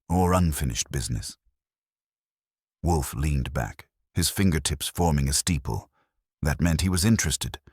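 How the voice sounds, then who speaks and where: A man's voice: very deep voice, husky, no echo, clean studio sound. A man narrating a first-person detective story in a wry, hard-boiled way. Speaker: audiobook narrator.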